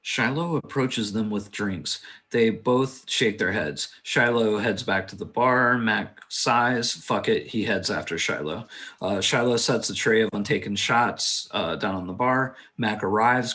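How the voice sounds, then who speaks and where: A man's voice: on the low side, pushed and bright. A man reading screenplay stage directions as a matter-of-fact narrator at a table read.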